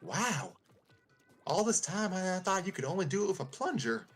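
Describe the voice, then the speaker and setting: Man doing an excitable high-pitched cartoon sidekick. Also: normal speed, faint music behind. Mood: teasing, amazed. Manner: exclaiming, pleading, animated. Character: excitable, friendly male cartoon mail-carrier turtle sidekick. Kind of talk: fan script read-through, cartoon voice.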